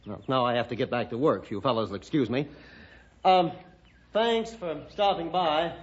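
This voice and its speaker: A man's voice: mid-range voice, moderate pace, slightly rough, natural voice. An actor playing a glib, cynical, money-minded young man in an old radio drama, breezy and dismissive. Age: young adult. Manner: breezy, dismissive, self-satisfied. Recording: dull, noisy old radio recording.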